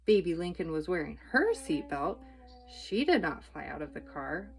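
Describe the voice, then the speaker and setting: A woman's voice: lowish voice, sing-song, normal speed, vocal fry. A woman narrating a children's book as a storytime reader.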